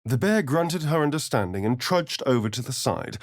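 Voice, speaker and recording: An actor playing a sardonic, vain vampire spawn rogue in a video game, with a theatrical, drawling British delivery. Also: male voice, mid-range voice, lively intonation, normal speed, no room sound, clean studio sound, lightly acted. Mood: dramatic narrating. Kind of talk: video game character voice lines.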